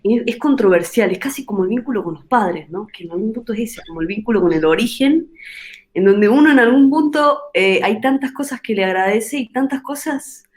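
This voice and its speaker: A woman's voice: a little high, expressive, brisk. A young adult woman with an Argentine accent, a singer-songwriter from Córdoba answering interview questions in a thoughtful way, often searching for words and restarting phrases. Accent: Argentine Spanish. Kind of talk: reflective interview talk.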